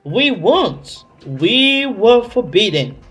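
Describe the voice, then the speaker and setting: A woman voicing gruff, silly snowman guards with loud, abrupt refusals in a children's storybook. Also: mid-range voice, big pitch swings, very slow, faint music behind, voice pushed up, heavily acted. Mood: stubborn, refusing.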